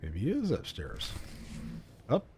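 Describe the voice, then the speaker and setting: A man's voice: fairly low pitch, huge pitch swings, slow, noisy background. A man streaming a video game, casually thinking aloud in rambling commentary as he plays. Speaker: livestream host playing a video game.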